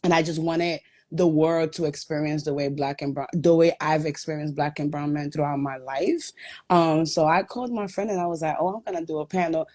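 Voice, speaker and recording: Low-pitched woman from Guatemala, raised in the Bronx, guest owner, earnest storyteller. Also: fairly even intonation, fairly quick, darkish tone. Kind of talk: telling her story in a live chat.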